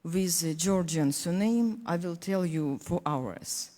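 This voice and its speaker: A woman from Donetsk with a Ukrainian accent, a native Russian speaker, speaking as a panelist in earnest, halting English full of restarts. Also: on the low side, mellow, long reverb tail. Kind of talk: panel discussion speech.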